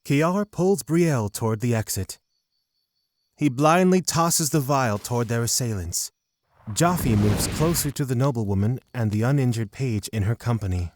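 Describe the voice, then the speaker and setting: Dry, mid-pitched male fantasy narrator. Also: lively intonation, moderate pace, a little mellow, no room sound. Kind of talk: scripted fantasy audio drama narration.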